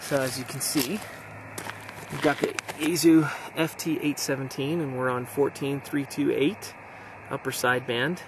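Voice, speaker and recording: A man's voice: mid-range voice, fairly even intonation, measured pace. A middle-aged American man giving an enthusiastic, casual summary as an amateur radio operator vlogging. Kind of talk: casual outdoor vlog talk, summarizing. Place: outdoors in hilly backcountry. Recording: outdoor noise behind the voice.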